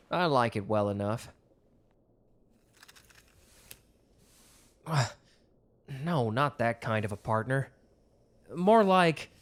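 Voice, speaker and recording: Man playing a young male love interest, medium pitch, casual, intimate, teasing, bashful, romantic audio roleplay. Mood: exasperated.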